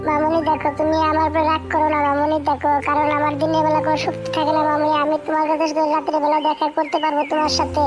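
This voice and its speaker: A woman's voice: high-pitched, monotone, racing, hardly any pauses, pitched above the performer's own voice, lightly acted. A woman voicing a devoted, grieving mother bird ghost in a cartoon, speaking in an earnest, pleading manner. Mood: sorrowful pleading. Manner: pleading, earnest, repetitive endearments. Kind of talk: Bengali cartoon voice acting. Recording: music bed behind the voice.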